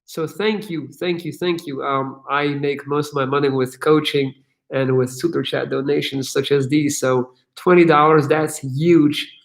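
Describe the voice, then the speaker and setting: A man hosting a casual livestream Q&A, answering viewer questions in an energetic, profane way with many fillers. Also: on the high side, a little slow, gravelly, poor audio.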